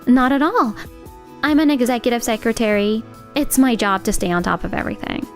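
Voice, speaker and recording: A woman's voice: on the high side, a little fast, faint music behind, lightly acted. A woman playing a polite, devoted executive secretary in an anime-style manga dub. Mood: gracious.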